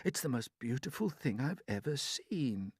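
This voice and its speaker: A man voicing a small, dreamy young boy who murmurs softly in awe. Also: mid-range voice, very animated, normal speed, breathy edge, no echo, clean studio sound, voice pushed up. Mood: awed. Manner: soft, awed murmur.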